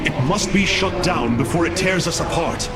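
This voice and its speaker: An actor playing a noble, resolute alien warrior leader, formal and oratorical, in a dramatic game cutscene. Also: male voice, sits high, moderate pace, over loud music. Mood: resolute, rallying.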